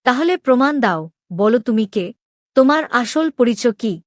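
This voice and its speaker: Woman acting proud, hurt young princess, higher-pitched. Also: expressive, moderate pace, no room sound, studio quality, natural voice. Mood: disbelieving, upset. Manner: demanding, emotional questioning. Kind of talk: dramatic Bengali animated story dialogue.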